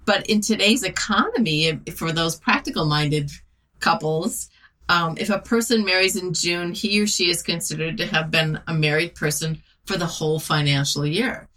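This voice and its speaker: A woman's voice: on the low side, moderate pace, bright, forceful tone. A woman hosting an interview, explaining things conversationally and putting questions to her guest. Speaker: relationship podcast host. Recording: distant from the microphone.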